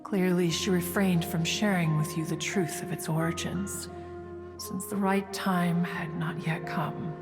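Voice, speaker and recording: A woman's voice: on the low side, fairly even intonation, measured pace. Ancient haughty female immortal, long hall reverb, formal pompous diction, austere, learned, fantasy game, music underneath. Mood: proud, matter-of-fact. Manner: formal, pompous, calls herself "one". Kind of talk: video game voice acting.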